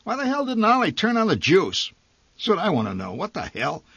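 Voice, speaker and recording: A man voicing a blustering, short-tempered middle-aged father who shouts and curses at his family. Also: medium pitch, sing-song, drawn-out words, dry recording, pitched above the performer's own voice, full character voice. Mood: shouting, angry. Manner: shouting, cursing, exasperated.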